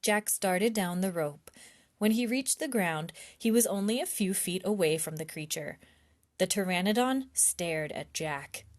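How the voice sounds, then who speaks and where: A woman reads a children's story aloud at a slow pace. Her pitch moves in lively swings, as in a library storytime, and her delivery is expressive and easy to follow. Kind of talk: expressive read-aloud of a children's chapter book.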